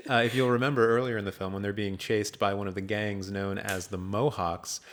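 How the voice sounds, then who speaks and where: A man's voice: lowish voice, level tone, fairly quick, a little hiss or hum. A man hosting a casual movie podcast, explaining things with mock seriousness. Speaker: podcast host.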